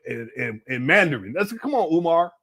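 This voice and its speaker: A man's voice: fairly high pitch, big pitch swings, normal speed, fairly bright tone, husky. A man giving casual reaction commentary on a clip, exasperated and laughing, with slangy asides.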